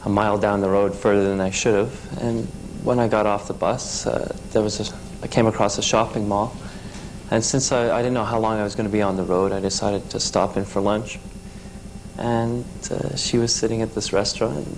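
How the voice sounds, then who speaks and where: A man in his twenties with a Canadian accent, a talk show guest telling his story haltingly, with many restarts. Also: on the low side, steady tone, noisy background. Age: 20s.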